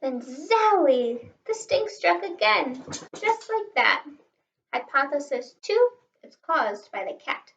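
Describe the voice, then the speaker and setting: High-voiced woman reading a storybook aloud. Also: slow. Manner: animated, asks listeners questions, stresses rhymes. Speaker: teacher reading a picture book aloud to children. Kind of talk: expressive children's storybook read-aloud. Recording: roomy, slightly distant, dull sound.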